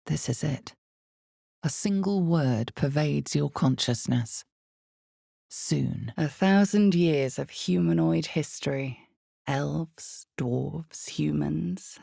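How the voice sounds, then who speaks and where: A woman playing the grave, intimate, ominous storyteller of a dark fantasy game, narrating with slow, deliberate, dramatic pauses. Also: no room sound, studio quality, exaggerated voice. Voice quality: low, husky, gravelly. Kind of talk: dramatic second-person scripted game narration.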